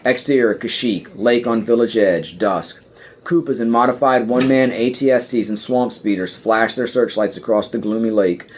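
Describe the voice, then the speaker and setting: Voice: mid-range voice, normal speed, slight background noise. A podcast narrator reading scripted screenplay stage directions.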